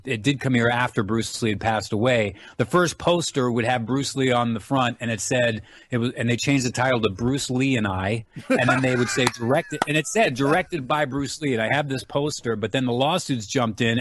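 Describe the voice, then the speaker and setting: A man's voice: mid-range voice, brisk, a bit bright. A man speaking as an interview guest, telling stories casually with informal enthusiasm. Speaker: guest, film writer and enthusiast.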